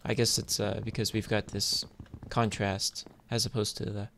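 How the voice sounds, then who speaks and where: Male gaming host, lowish pitch, informal think-aloud commentary, noisy home-style recording with clicking keys. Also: flat delivery, moderate pace, a bit bright. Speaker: host of a gaming video series. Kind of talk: casual gameplay commentary. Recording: clear background noise, keyboard clicks. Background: computer keyboard and clicking.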